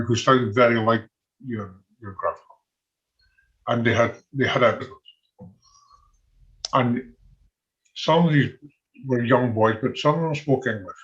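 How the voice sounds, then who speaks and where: Older Scottish male, ex-soldier historian, halting conversational delivery, average pitch, muffled lo-fi sound. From Scotland. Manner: halting, conversational, chuckles often. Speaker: military historian and former soldier. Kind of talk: informal talk on military history. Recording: dull, lo-fi audio.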